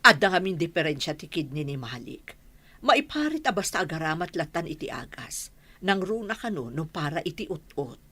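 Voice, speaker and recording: A woman's voice: low-pitched, big pitch swings, normal speed, sharp tone, slightly rough, dry recording, heavily acted. A woman playing an obliging, respectful village mother in an Ilocano radio drama. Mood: explaining.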